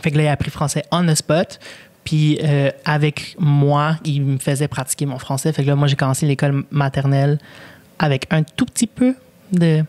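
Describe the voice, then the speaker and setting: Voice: mid-range voice, fairly even intonation, moderate pace, darkish tone. Young québécois accent, spanish native speaker, casual podcast chat, slangy, curses freely, mixes in english. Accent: Québécois French. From Quebec, Canada. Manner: slangy, swears casually, drops English words. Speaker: podcast co-host.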